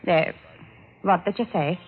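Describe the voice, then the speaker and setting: A woman playing a nervous, eager housemaid with a foreign accent and halting, broken English in radio drama. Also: lowish voice, soft background music, lightly acted. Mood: earnest recalling. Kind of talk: 1940s-50s radio drama acting. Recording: tinny, phone-like old radio recording.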